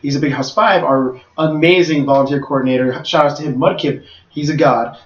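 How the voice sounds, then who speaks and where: A man's voice: mid-range voice, steady tone, a little fast. A man organizing tournaments, talking through a casual podcast recap in a rambling way with many restarts. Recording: roomy, distant, dull mic.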